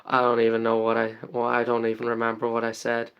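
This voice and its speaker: A man's voice: mid-range voice, completely flat delivery, quick pace, creaky, smooth, dull audio. A man from the UK hosting a casual live stream, greeting viewers by name and rambling with lots of ums and uhs.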